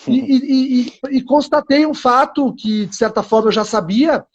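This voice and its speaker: A man's voice: very high-pitched, moderate pace, muffled recording. A middle-aged man hosting a live-stream interview, eager and rambling, often restarting his sentences. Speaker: host lawyer.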